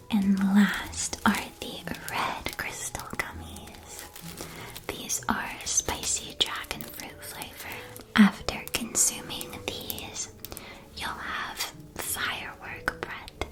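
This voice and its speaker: A woman's voice: medium pitch, flat delivery, natural voice. Woman acting a whispering, sweet candy shopkeeper. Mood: playful, fond. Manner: soft, unhurried, gently enthusiastic. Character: friendly, sweet female magical candy shop keeper. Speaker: ASMR roleplay performer. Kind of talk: whispered ASMR shopkeeper roleplay.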